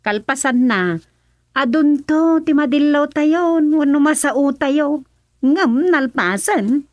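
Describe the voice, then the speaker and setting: A village daughter with firm opinions and an Ilocano accent. Her voice sits a little high and close, and she speaks earnestly in conversational radio-drama style. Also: slow, darkish tone, no room sound. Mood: earnest, opinionated.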